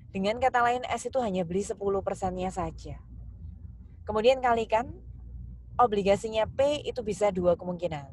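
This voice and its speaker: Woman, Indonesian accent, mid-range pitch, tutorial lecturer, instructional step-by-step delivery, speaks directly to students. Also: a little hiss or hum, rough recording. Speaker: accounting lecturer.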